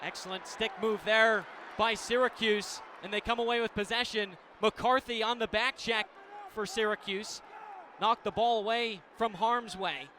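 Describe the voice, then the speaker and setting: High-voiced American man calling live sports. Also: steady tone, a little slow, pushed and bright, a little hiss or hum. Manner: clipped, descriptive phrasing. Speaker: play-by-play radio commentator. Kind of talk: live lacrosse radio commentary.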